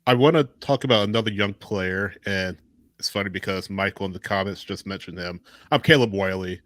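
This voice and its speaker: Male podcast host, fairly low pitch, casual, agreeable, somewhat halting delivery, dry recording. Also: fairly bright tone, no echo. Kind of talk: casual live sports chat.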